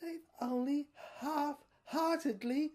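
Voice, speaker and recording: Defiant rebel soldier for audio drama, played by a woman: higher voice, argumentative, hammering points home by repetition. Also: slow, a little mellow. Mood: insistent, agitated.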